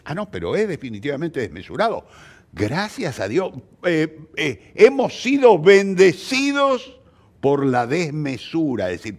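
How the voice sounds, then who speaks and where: An older man with a Rioplatense Argentine accent, explaining things conversationally in an interview, emphatic and didactic, posing rhetorical questions. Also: fairly high pitch. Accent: Argentine Rioplatense Spanish. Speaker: playwright interviewee.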